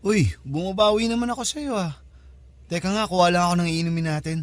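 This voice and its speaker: An actor with a Filipino accent playing an easygoing, reassuring young boyfriend in a radio drama, casual and breezy. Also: male voice, sits high, fairly quick, dry recording, natural voice. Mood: lightly teasing.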